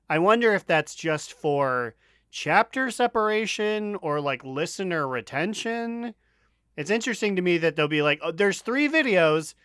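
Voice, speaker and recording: A man's voice: on the high side, a bit bright, clean tone, no room sound, studio quality. A man co-hosting a gaming podcast, chatting casually with wry, jokey commentary. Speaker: podcast co-host. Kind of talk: casual chat about a video game.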